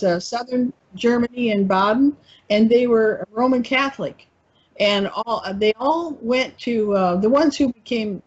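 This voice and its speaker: A woman with a husky, breathy voice wanders through her thoughts in a relaxed discussion, often pausing to find the word. Her audio comes through dull and muffled. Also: on the high side. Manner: meandering, searching for words. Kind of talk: casual discussion.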